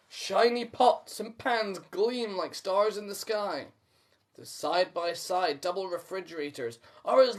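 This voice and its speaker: A man reading a storybook aloud as host, with chatty asides to listeners. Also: high voice.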